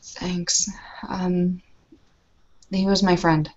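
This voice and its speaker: A woman taking part in a tabletop roleplay, talking softly and hesitantly with many ums. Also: lowish voice, little pitch movement, very slow, dull audio. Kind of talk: casual roleplay talk.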